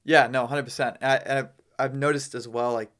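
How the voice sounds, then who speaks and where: A man's voice: medium pitch, steady tone, brisk, a bit bright. A man with a mid-range voice speaks as a guest in a casual podcast chat. He explains things loosely and informally, peppering his sentences with slang and filler. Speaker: podcast guest, real estate business owner.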